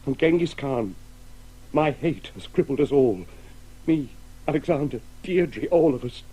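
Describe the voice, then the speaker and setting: Broken dad in a radio drama, mellow voice, slow and halting, brooding, faint hiss. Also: male voice, mid-range voice, lively intonation, husky, poor audio. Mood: guilt-ridden, anguished. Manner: halting, brooding, repeats himself. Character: anguished, guilt-ridden father grieving a lost woman. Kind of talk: radio play acting.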